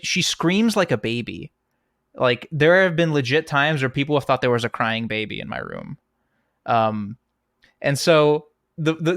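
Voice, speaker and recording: A man's voice: fairly high pitch, a bit bright, no room sound, studio quality. A young American man, a guest on a podcast, chatting casually and laughing often, with plenty of fillers and restarts. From United States. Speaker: guest, game composer. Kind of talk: casual interview chat.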